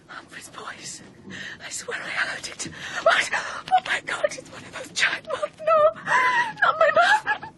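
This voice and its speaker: A woman playing a haunted, frightened young wife in a radio drama, moving between breathy whispers and desperate cries. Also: extremely high pitch, unhurried, background noise. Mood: terrified. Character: haunted, terrified young wife pursued by a possessing spirit.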